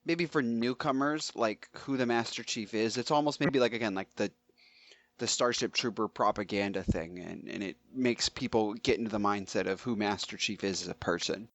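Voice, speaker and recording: A man's voice: lowish voice, moderate pace, a bit bright. A man co-hosting a podcast in a loose, casual chat style. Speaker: podcast co-host.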